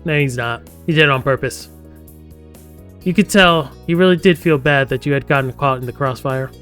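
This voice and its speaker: A man's voice: mid-range voice, moderate pace, fairly bright tone. A man streaming a game live, reading its story text aloud and breaking off into chatty, joking asides. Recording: music playing behind the voice.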